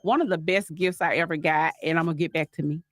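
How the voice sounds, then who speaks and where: Middle-aged woman, warm folksy guest telling stories. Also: deep voice, steady tone, fast, no echo, studio quality. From Alabama. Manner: homey, laughing storyteller. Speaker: author and guest. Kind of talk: casual interview storytelling.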